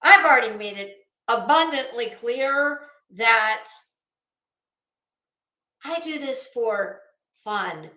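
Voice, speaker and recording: A woman giving rambling, casual online commentary in a sarcastic, mocking manner, with halting delivery and drawn-out pauses. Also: a little high, expressive, bright, forceful tone. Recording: roomy, echoey sound.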